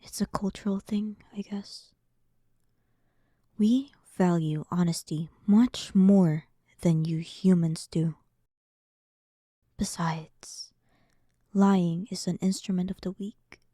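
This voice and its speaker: A woman plays a dominant demon queen in an intimate roleplay audio. Her voice is very dark and velvety, with a soft breathy edge, and she takes her time over every phrase. She sounds haughty and bored, teasing her listener with dry, condescending sarcasm, all in a close, dry recording. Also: fairly low pitch, steady tone, slow, pauses often, no echo. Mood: coaxing, smug. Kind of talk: scripted roleplay audio, intimate one-sided dialogue.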